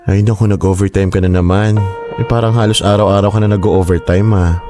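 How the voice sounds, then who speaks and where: A man's voice: lowish voice, steady tone, a little fast, dark tone, natural voice. A man playing a concerned, exasperated middle-aged uncle in a Tagalog radio drama. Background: music.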